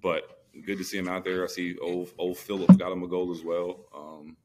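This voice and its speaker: A man's voice: low-pitched, level tone, normal speed, noisy background. A man co-hosting a sports podcast, chatting casually and slangily about football, repeating phrases for emphasis.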